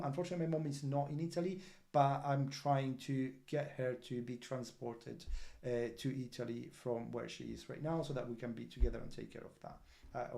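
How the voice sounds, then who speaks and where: A man who is not a native English speaker hosts a crypto project livestream update, answering viewer comments in an earnest, halting way with many fillers and restarts. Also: mid-range voice, mellow. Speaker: crypto project team member hosting an update.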